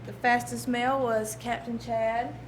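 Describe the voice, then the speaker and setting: A woman with a fairly high voice speaks for a wellness committee at a town board meeting, reading out facts and names in a plain, matter-of-fact way. The meeting-room recording carries noticeable background noise. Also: little pitch movement, a little slow. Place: town hall meeting chamber.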